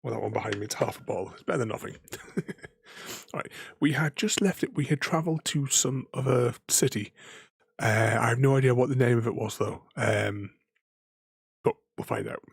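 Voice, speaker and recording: A man's voice: mid-range voice, brisk, vocal fry, slightly rough, dry recording. A man streaming a game who is losing his voice, rambling casually with many fillers and laughs. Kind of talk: casual gaming stream chat.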